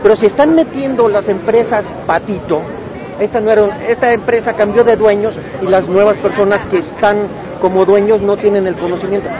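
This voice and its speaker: Mexican man at a street protest, earnest and explanatory, dull recording over crowd noise. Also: sits high. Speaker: striking voice actor.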